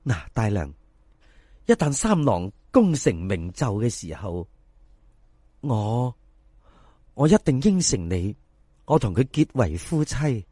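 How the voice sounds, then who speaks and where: Folk-tale heroine acted by a male narrator: young Cantonese woman, earnest, polite, resolute, expressive melody, dry studio-like sound. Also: mid-range voice, slightly rough, no room sound, voice pushed down, heavily acted. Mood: humble, sincere. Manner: polite, measured, pleading sincerity. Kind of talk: dramatised folk storytelling.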